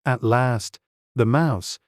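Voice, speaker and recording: A man narrating a classic children's story in a gentle, even storytelling manner. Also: medium pitch, slow pace, no echo, clean studio sound.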